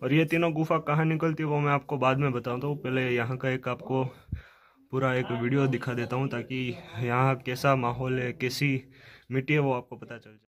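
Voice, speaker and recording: A man's voice: medium pitch, level tone, normal speed. A man, explaining things to viewers in a casual vlog style and often repeating himself. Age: 20s. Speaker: vlogger and on-site guide. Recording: loud music under speech. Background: loud instrumental music.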